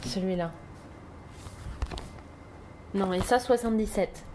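Lively woman chatting off-mic. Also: medium pitch, a little slow, slight background noise, rough recording. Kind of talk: casual chat.

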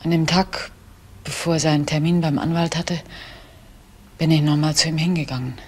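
An actress playing a distressed older woman who makes a halting, subdued confession in a German radio crime drama. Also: low voice, fairly even intonation, a little mellow, a little hiss or hum, close to an everyday voice. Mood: subdued, anguished.

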